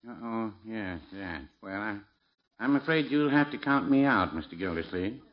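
Man acting meek, deadpan druggist, wavering intonation. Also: lowish voice, normal speed, close to an everyday voice. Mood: meek, evasive. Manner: deadpan, mild, hesitant. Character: meek, dryly contrary older male druggist. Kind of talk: 1950s radio situation comedy acting. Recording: muffled vintage 1950s radio recording.

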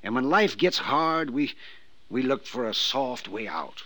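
An actor playing a perturbed, guilt-ridden old gentleman with formal, hesitant phrasing in a 1950s radio drama. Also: medium pitch, expressive, a little slow, no room sound. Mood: resigned, regretful. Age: older. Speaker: supporting actor in a radio detective serial.

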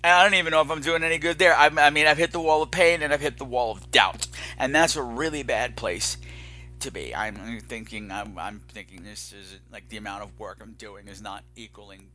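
A man's voice: medium pitch, fairly quick, sharp tone. A man hosting a podcast in a candid, unscripted monologue, dramatic and emphatic, sounding weary and exasperated. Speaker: podcast host.

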